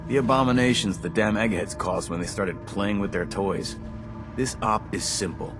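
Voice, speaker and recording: Guy acting as a deep-voiced hardass army officer, snappy and scornful, game dialogue with music behind. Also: moderate pace, close to an everyday voice. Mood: stern, suspicious. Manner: curt, condescending, stern. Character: gruff, hostile, contemptuous male military squad officer. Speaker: military officer handing out assignments.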